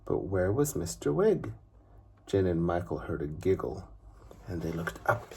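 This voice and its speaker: A man narrating a children's story expressively, with deliberate phrasing and dramatic pauses. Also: deep voice, big pitch swings, vocal fry, heavy background noise.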